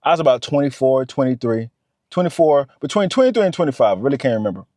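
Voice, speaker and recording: A man's voice: mid-range voice, very animated, quick pace, mellow, dry recording, studio quality. A man, giving a motivational talk to camera. He talks straight to the viewer and repeats his points. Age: 30s. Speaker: vlogger.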